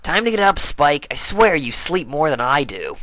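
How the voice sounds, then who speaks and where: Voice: medium pitch, very animated, moderate pace, no echo, voice pushed up. A storyteller voicing a brash, protective young female pegasus pony who talks bluntly and casually. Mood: brisk, upbeat. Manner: blunt, forceful, slangy.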